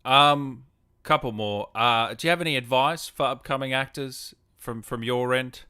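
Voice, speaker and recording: A man with a distinctly bright, forceful voice hosts a casual gaming interview. His mid-pitched speech sounds close and dry, with no room echo, as he chats and passes on questions. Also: normal speed, clear, resonant tone. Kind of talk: casual livestream interview, reading viewer questions.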